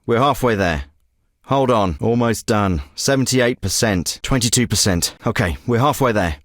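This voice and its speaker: An actor voicing a tough, curt special forces handler in a military video game, giving orders in a clipped, commanding way that turns profane under pressure. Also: male voice, fairly low pitch, lively intonation, normal speed, fairly bright tone, no room sound, clean studio sound. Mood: urgent. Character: tough covert ops handler, middle-aged man, curt. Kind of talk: game voice acting.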